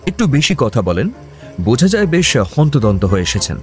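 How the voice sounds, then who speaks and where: Actor as male storyteller for radio comedy; expressive mid-pitched narration over faint music. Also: normal speed, natural voice. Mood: storytelling. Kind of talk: scripted radio drama narration.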